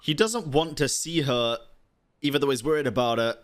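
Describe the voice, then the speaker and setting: A man's voice: mid-range voice, normal speed. A man streaming a game, giving casual, slangy, sweary reactions as he thinks aloud. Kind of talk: casual reaction commentary over gameplay. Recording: game music faintly behind.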